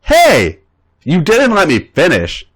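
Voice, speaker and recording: A man's voice: sits high, wildly swooping pitch, slow pace, a bit bright, voice pushed up, full character voice. A man doing an eager young male wrestler.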